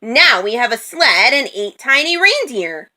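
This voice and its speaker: Confident female cow, voiced by a woman, storybook character, pushy, eager, bright, lively high pitch. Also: measured pace, exaggerated voice. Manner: cheerful, pushy, sure of herself.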